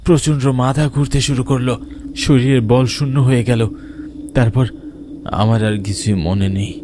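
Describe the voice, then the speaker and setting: A man's voice: mid-range voice, background noise, close to an everyday voice. A man playing a frightened villager who narrates his own ghost encounter in a dramatic, suspenseful horror-cartoon style. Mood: panicked, then subdued. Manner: suspenseful, deliberate. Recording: prominent music behind narration.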